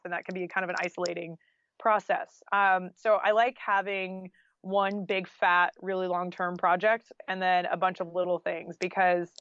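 A woman's voice: fairly low pitch, a bit bright, dull audio. A woman talking casually as an interview guest, chatty and anecdotal, with lots of conversational filler. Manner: chatty, anecdotal, with frequent "like" and "you know". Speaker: podcast guest, freelance journalist and author.

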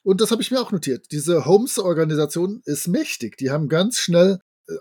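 High-voiced, husky man. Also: darkish tone. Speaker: podcast co-host. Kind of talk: casual discussion of an audio drama, retelling and commenting.

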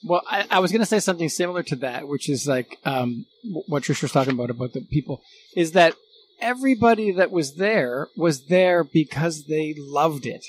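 A man's voice: a little high, lively intonation, normal speed, a little hiss or hum, rough recording. A middle-aged man in a casual unscripted conversation as a guest, thinking aloud as he goes. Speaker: former radio recording engineer, podcast guest.

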